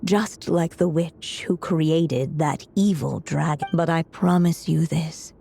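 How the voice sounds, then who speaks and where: A low, mellow, very slow storybook voice in long reverb. She is a solemn, tender witch mother telling a fairy tale in a video game, in measured literary phrases. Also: vocal fry, exaggerated voice. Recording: long reverberant hall echo. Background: background music.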